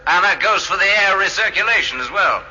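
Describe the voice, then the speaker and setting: A man's voice: high voice, animated, fairly quick, sharp tone, dull audio, exaggerated voice. An actor playing an observant, matter-of-fact starship crewman reasoning things out in a radio drama. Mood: reasoning, insistent.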